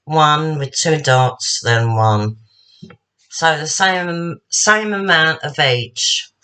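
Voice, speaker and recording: A woman, slightly husky and tired, chatting casually to herself while making a salad at home. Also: low voice, very slow, bright, forceful tone. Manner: chatty, rambling, talks to herself while working. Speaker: home cook. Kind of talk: casual cooking demonstration.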